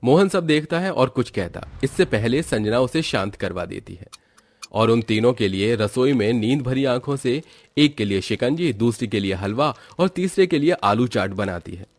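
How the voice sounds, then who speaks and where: A man's voice: mid-range voice, animated, moderate pace, dry recording. A man narrating a scripted family story as a storyteller.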